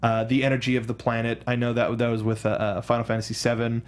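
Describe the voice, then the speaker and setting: A man co-hosting a casual film discussion, speaking in a halting, rambling way and often circling back to rephrase with enthusiasm. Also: mid-range voice, level tone. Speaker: co-host of a film discussion show.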